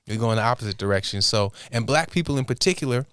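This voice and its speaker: A man giving thoughtful interview answers as a guest, talking in a halting way as he thinks aloud. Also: medium pitch, lively intonation, fairly bright tone, clear, resonant tone, no echo, clean studio sound. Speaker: interview guest, music producer and inventor.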